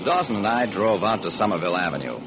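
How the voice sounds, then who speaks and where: Vintage radio detective hero played by a man, wry and sharp, lively swooping inflection, noisy old recording. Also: lowish voice, quick pace, lightly acted. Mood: wry, narrating. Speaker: narrator and lead actor. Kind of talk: old-time radio detective narration and acting.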